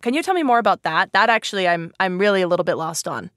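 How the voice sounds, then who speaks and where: A woman's voice: fairly low pitch, animated, fast talker, a bit bright, no room sound. Chatty woman hosting, close dry sound. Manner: chatty, informal, curious. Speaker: podcast host interviewing a guest. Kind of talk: casual educational interview.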